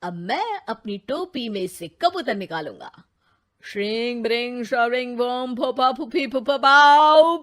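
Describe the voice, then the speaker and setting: A woman's voice: on the high side, expressive, slow, fairly bright tone. A woman voicing a showy male stage magician chanting spells in a cartoon. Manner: theatrical incantations.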